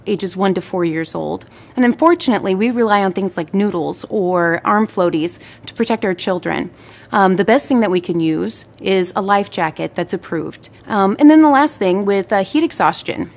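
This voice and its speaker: A woman working as a health program manager, giving safety tips in a plain-spoken, instructive way. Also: mid-range voice. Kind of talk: informational safety tips to camera.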